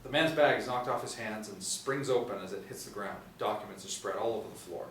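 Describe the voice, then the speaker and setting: A man's voice: lowish voice, steady tone, fairly quick, fairly bright tone. A middle-aged man reading scripted stage directions as narrator at a table read. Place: small indoor room, seated reading from tablet. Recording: echoey room, distant mic, faint noise.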